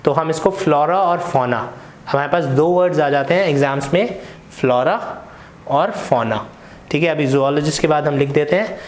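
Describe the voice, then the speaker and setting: A man's voice: on the high side, normal speed, roomy sound. A young adult man with an Indian English accent teaches vocabulary patiently, switching between Hindi and English and often asking "right?". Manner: explains patiently, asks rhetorical questions and checks in with "right?". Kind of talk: explanatory lesson mixing Hindi and English.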